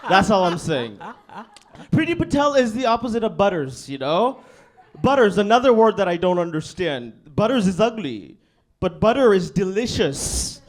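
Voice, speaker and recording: A man's voice: high voice, normal speed. Laid-back young male stand-up comic. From Bangladesh. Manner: laid-back, deadpan, frequent "you know what I mean". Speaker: stand-up comedian. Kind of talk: stand-up set. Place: comedy club stage.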